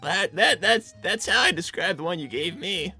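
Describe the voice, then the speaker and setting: Someone doing a nervous tired cartoon fox boy, stammery and rambly, pitch jumps around a lot, music playing behind. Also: lively intonation, moderate pace, gravelly, sharp tone, husky, heavily acted. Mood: awkward. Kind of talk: improvised in-character livestream roleplay.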